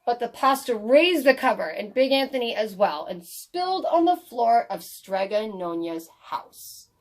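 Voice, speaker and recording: A woman reading a children's story aloud in an expressive storytelling style. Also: fairly high pitch, unhurried.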